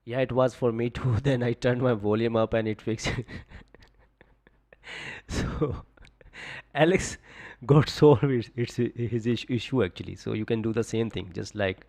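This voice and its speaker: A man's voice: mid-range voice, a little slow, vocal fry. A young man, talking casually on a live stream about trades and reading out viewer comments, full of "just, like" fillers. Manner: relaxed, repetitive fillers, coaching viewers. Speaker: forex trading streamer.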